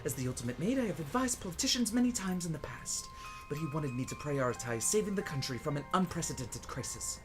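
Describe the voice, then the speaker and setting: A woman playing a dramatic high school student in a comedic anime game fandub, with theatrical delivery. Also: animated, quick pace, over music. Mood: solemn.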